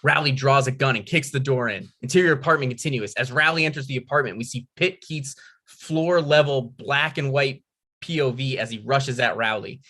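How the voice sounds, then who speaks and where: Male stage-direction reader, forward bright tone, average pitch, scripted narration. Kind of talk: reading screenplay directions aloud.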